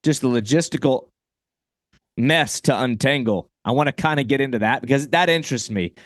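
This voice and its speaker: A man's voice: medium pitch, a little fast, no room sound, studio quality. A man hosting a podcast interview in an informal, rambling, think-aloud conversational way. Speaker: podcast host.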